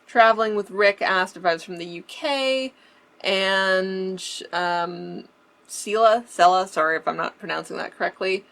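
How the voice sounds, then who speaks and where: A woman's voice: mid-range voice, measured pace, creaky, a bit bright. A woman from Canada with a slight Canadian accent and a faint leftover lisp, chatting through a casual question-and-answer video with frequent laughs. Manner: chatty, laughs often, restarts words. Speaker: vintage-style vlogger. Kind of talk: casual Q&A chat.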